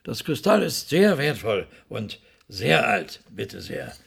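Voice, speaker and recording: An actor playing a guarded, condescending male client in a German radio play, dry and skeptical, with a creaky voice. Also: fairly low pitch, big pitch swings, takes it slowly, exaggerated voice. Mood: smug, genial.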